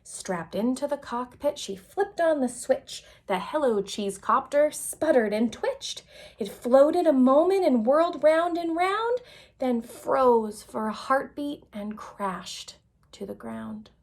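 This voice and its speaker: A woman tells a children's story in a fairly high voice. She reads very slowly and expressively, giving phrases dramatic emphasis as a picture-book storyteller would. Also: lively intonation, darkish tone. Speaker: read-aloud storyteller.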